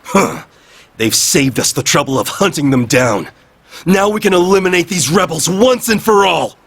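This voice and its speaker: An actor playing an arrogant, contemptuous enemy military commander in a video game. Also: male voice, fairly high pitch, lively intonation, moderate pace, a bit bright, exaggerated voice. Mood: scornful, commanding. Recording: clear battle and vehicle noise behind.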